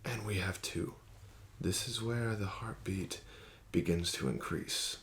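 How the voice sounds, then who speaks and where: Low, gravelly soft-spoken male dealer. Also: slow, a little hiss or hum. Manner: soft-spoken, often whispering; smooth, flattering, gently coaxing. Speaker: ASMR roleplay host playing a casino dealer. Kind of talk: ASMR roleplay.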